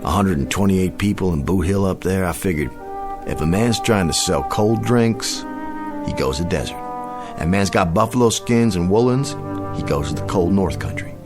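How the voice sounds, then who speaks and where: Actor playing a deep, theatrical frontier huckster. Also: male voice, normal speed, music in the background, natural voice. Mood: sly, boastful. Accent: American. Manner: theatrical, grandiloquent, folksy salesman patter. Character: smooth-talking frontier showman who claims to raise the dead. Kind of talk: radio drama acting.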